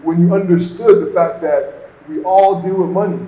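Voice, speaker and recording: A man's voice: high-pitched, fairly even intonation, moderate pace, warm tone. A man from Toronto with a Canadian accent, giving conversational, explanatory interview answers peppered with "you know". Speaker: guest, entrepreneur and former financial advisor. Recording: echoey room, background noise.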